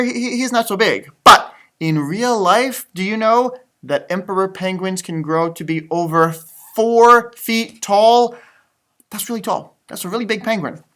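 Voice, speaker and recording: Man, children's read-aloud, very animated intonation, teacherly, chatty asides, exaggerated, repeats phrases. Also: high voice. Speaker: music teacher reading storybooks aloud to children.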